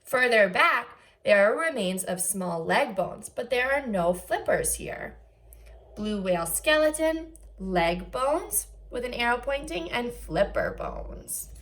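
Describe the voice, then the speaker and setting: Slow, expressive woman teacher reading aloud. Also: a little high. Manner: careful enunciation, expressive teacherly phrasing. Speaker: first grade teacher. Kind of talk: read-aloud lesson with asides to young children.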